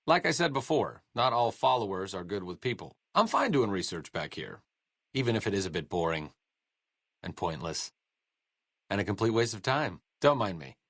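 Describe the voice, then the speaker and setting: A man's voice: low voice, a bit bright, no echo, exaggerated voice. An actor playing a sardonic, bookish male wasteland doctor with dry, self-deprecating wit in a video game. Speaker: game companion character.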